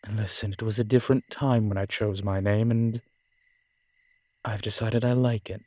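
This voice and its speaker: A man's voice: lowish voice, fairly even intonation, normal speed, dark tone, dry recording, lightly acted. A man playing an ancient, proud vampire in an audio drama, speaking with formal, courtly diction and gravity. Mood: measured. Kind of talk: dramatic audio roleplay acting.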